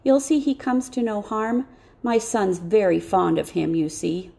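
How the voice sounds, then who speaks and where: Wanted: a woman playing a guilt-laden farmer dad in an audiobook, subdued and confessional, gravelly edge. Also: mid-range voice, fairly even intonation, a little slow, dark tone. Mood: anxious. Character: remorseful, burdened farmer, a father.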